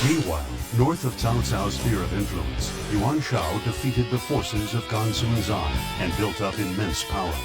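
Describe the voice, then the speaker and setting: A formal, measured storyteller of ancient wars voiced by a man for a video game. His tone is solemn and a bit low. Loud plucked-string music runs throughout. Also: natural voice. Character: solemn male narrator of ancient war history. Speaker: narrator. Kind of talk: scripted historical narration in a video game.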